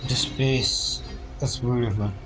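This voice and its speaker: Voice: mid-range voice, steady tone, slow pace, over music. A game streamer giving casual reaction commentary over gameplay.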